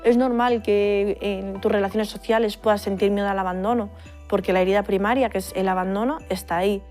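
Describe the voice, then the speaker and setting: A woman adopted from India explaining in a measured way during a documentary interview. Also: medium pitch, steady tone, a little mellow, faint music behind.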